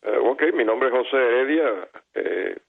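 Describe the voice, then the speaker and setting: A man's voice: mid-range voice, lively intonation, measured pace, creaky, husky. A Cuban man in his eighties, an interview guest telling his own story earnestly and correcting himself mid-sentence. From Cuba. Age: 80s. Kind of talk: personal recollection in an interview. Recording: tinny phone-like line.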